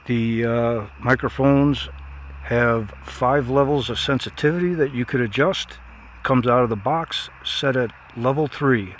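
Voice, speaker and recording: A man's voice: medium pitch, slight background noise. A man giving a casual, unscripted product review in a plainspoken, folksy way.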